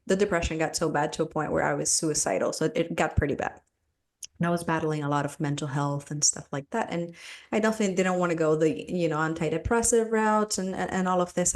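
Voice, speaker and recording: A middle-aged woman from Cuba speaks as a podcast guest. Her voice sits low, and she circles her thoughts with fillers and restarts, laughing now and then. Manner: reflective, frequent fillers and restarts, laughs easily. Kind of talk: conversational interview.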